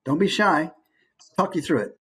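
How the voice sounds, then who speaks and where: A man's voice: fairly high pitch, animated, brisk, fairly bright tone, husky. A man leading an online class as an informal, encouraging instructor. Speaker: voice acting workshop presenter. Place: online video call.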